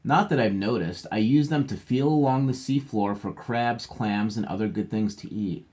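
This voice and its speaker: A man's voice: fairly low pitch, normal speed, darkish tone, close to an everyday voice. Storybook creature, voiced by a man reading aloud: proud, matter-of-fact explainer, faintly put-upon, for a children's book. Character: storybook animals proudly explaining their odd features.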